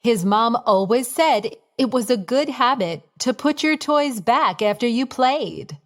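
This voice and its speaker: A woman reading a scripted bedtime story for young children in a simple, expressive storytelling manner. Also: fairly high pitch, unhurried.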